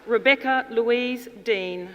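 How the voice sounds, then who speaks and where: A woman formally announcing graduates' names at a ceremony, in a measured, deliberate delivery. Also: a little high, dull audio. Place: large indoor ceremony hall. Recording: reverberant hall, audience noise, clear background noise. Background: audience crowd noise.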